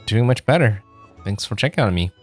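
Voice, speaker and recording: Very low-voiced man streaming a game. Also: expressive, brisk. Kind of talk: game let's play, reading dialogue and commenting. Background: game music.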